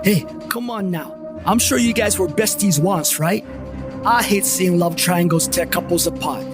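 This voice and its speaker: Male narrator for a comic paranormal audio story, wisecracking and deadpan, slightly high voice, over music. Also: measured pace. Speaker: narrator and lead character, a paranormal investigator. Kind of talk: first-person comedic audio drama narration. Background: background music.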